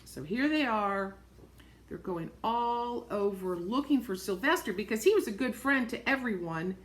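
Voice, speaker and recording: A woman reading a picture book aloud to young children, expressively, pausing to point things out in simple words and repeating phrases. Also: mid-range voice, expressive. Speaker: storybook reader for young children.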